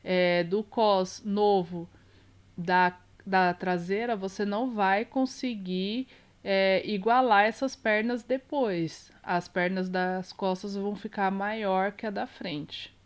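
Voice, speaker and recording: Slow, congested Brazilian woman teaching sewing. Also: medium pitch, clear, resonant tone. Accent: Brazilian Portuguese. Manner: calm, explains while working, small self-corrections. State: congested, nasal from a cold. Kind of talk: step-by-step sewing tutorial.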